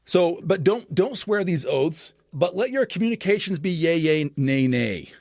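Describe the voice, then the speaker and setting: Animated man explaining scripture. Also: a little high, measured pace, no echo, studio quality. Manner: animated, expressive teaching with frequent restarts. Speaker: podcast guest scholar.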